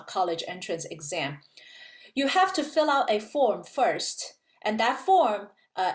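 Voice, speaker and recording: A woman's voice: mid-range voice, reverberant. A woman professor from China, explaining things at an easy pace in a casual interview, with many fillers. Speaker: physics professor, guest.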